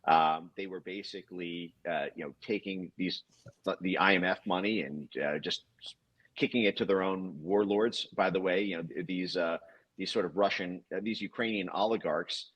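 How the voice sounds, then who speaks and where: Husky, low-voiced man in halting commentary. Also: fairly bright tone, lo-fi sound. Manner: halting, long-winded, stammering over repeated words. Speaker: interview guest, commentator. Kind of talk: political commentary in conversation.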